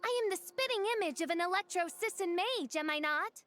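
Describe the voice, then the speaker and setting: A woman's voice: extremely high pitch, expressive, brisk, a bit bright, soft background music. A woman playing a theatrical, haughty teenage girl who role-plays a villainous mage in an anime game, with pompous, grandiose phrasing. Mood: smug, grand.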